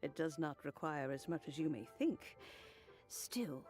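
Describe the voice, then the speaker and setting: A woman voicing a gentle, elderly female immortal who speaks in a gracious, unhurried, courteous way. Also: low voice, animated, soft background music. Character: gentle elderly female adeptus, kind hostess.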